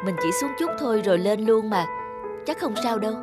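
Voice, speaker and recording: A woman's voice: mid-range voice, lively intonation, fairly quick, loud music behind the voice, lightly acted. A woman voicing a young, doting and anxious mother in a cartoon. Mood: casual, unworried. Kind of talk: cartoon voice acting.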